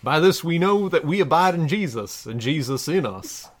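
Man doing a fervent Texan pastor, swooping pitch. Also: animated, normal speed. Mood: fervent, theatrical. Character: Texan pastor with Elvis-like drawl preaching parody scripture. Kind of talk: theatrical sermon parody.

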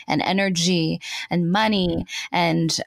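A woman from the Philippines speaks in a conversational interview. Her voice sits fairly low and sounds close and dry. She is thoughtful, reworking her phrasing as she explains. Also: fairly even intonation, takes it slowly, vocal fry, no room sound. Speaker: interview guest.

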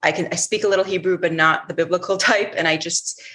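A woman's voice: lowish voice, steady tone, fast talker, sharp tone. A woman co-hosting a podcast, speaking reflectively and searching for her words in casual conversation. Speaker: podcast co-host.

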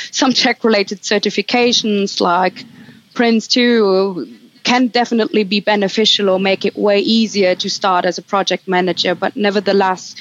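A woman from Germany, a project manager, giving earnest, measured career advice as a podcast interview guest. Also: mid-range voice, highs cut off. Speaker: project manager at a recruitment firm.

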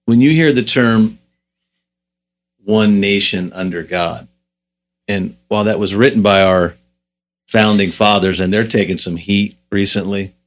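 A man moderates a panel discussion and puts measured, reflective questions to his guests. His voice sits fairly low. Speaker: panel host.

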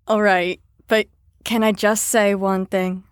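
Woman acting as a caring female clinic staffer in an audio drama, sincere and reassuring, mid pitch, super dry recording. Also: little pitch movement, slow, smooth, no room sound, close to an everyday voice. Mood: earnest, encouraging. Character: earnest, caring care-facility staffer, gently encouraging.

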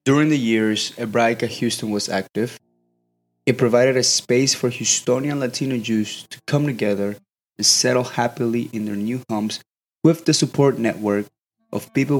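Young guy reading a script, even and measured, soft music under it. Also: mid-range voice. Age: young adult. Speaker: student narrator. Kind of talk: scripted documentary narration.

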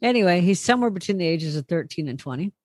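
A woman's voice: fairly low pitch, lively intonation, fast, darkish tone, no room sound, clean studio sound. A middle-aged woman co-hosting a casual podcast, telling rambling stories and teasing her co-host. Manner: chatty, rambling storyteller, teasing. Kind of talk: casual chat.

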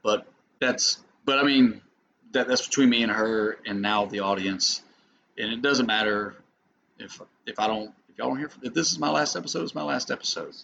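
A man co-hosting a casual podcast, teasing and profane, often cutting in over the others. His voice is bright and forceful. The track is dull and lo-fi, with faint noise under it. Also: mid-range voice, normal speed, gravelly. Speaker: podcast co-host.

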